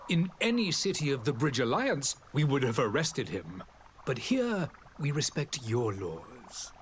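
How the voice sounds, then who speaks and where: A dignified game diplomat with a mid-pitched, highly expressive delivery. He is formal, proud and eloquent, measuring out courteous sentences over faint ambient noise. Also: unhurried. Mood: indignant. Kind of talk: formal role-playing game dialogue.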